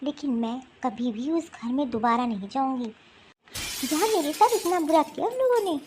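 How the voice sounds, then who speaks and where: A very high-pitched young wife played by a woman in a homemade cartoon melodrama. She is hurt and unbending, stressing her grievances in drawn-out phrases. Some noise sits behind the voice. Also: expressive, darkish tone. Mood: hurt, resolute. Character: hurt, resolute young married woman refusing her in-laws. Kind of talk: amateur Hindi cartoon family melodrama.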